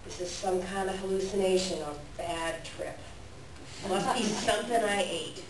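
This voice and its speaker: A woman's voice: lowish voice, moderate pace, lightly acted. A woman playing a scruffy, bewildered, laid-back coyote in a folksy, musing reader's theater performance. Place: indoor library reading, distant mic. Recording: very echoey, distant, clearly noisy room.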